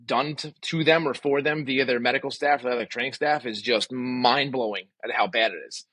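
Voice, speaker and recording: A man in his forties speaks as a podcast guest in a casual, emphatic way. His voice is bright and slightly rough, and he talks quickly. The sound is close and dry. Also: medium pitch, no echo. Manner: opinionated, emphatic, uses rhetorical questions. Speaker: podcast guest, physical therapist.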